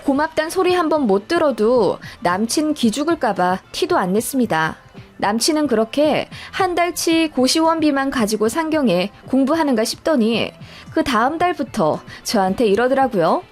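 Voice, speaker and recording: A woman's voice: high voice, very animated, moderate pace, darkish tone. A woman reading a scripted first-person personal story as a narrator, in a storytelling style. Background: background music.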